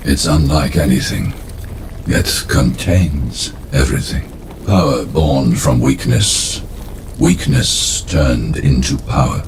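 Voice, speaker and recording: An extremely deep, slow, cryptic rune craftsman in a fantasy game. He rasps out each phrase in a gravelly growl, savouring it, amid very loud ambience. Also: sing-song, noisy background, full character voice. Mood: fascinated, reverent. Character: brooding male rune craftsman fascinated by power, cryptic. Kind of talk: fantasy game character acting.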